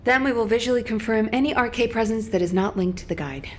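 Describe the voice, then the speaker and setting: A woman's voice: fairly high pitch, fast talker, a little hiss or hum, natural voice. An actress playing a determined woman fighter with a wry, teasing streak. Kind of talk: game cutscene voice acting.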